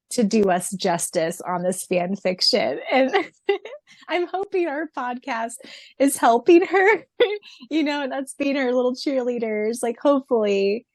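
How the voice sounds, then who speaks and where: A woman's voice: a little high, dry recording, clean studio sound. A woman co-hosting a casual fan podcast, effusive and laughing often as she chats and reads answers aloud. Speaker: podcast co-host.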